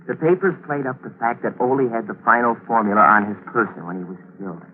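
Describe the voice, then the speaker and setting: A man's voice: mid-range voice, fast, narrow, tinny audio, close to an everyday voice. A man playing a cold, scheming murderer who narrates his own crime in a 1940s radio mystery, giving clipped orders and confiding asides. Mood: cold, commanding. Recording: noisy, thin 1940s radio broadcast recording.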